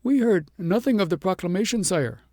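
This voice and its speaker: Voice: medium pitch, animated, a little fast, darkish tone, dry recording, clean studio sound, voice pushed up, lightly acted. A storybook reader voicing a humble shepherd who answers earnestly. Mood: pleading. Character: humble defensive shepherd, plain countryman.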